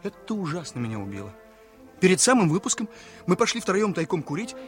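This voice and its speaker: An actor playing an eager, naive young officer and younger brother in a theatrical, exclamatory radio drama style. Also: male voice, high-pitched, sing-song, a little fast. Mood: confiding, rueful. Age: young adult. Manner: exclamatory, boyishly chatty, emotive. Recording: radio play with faint music.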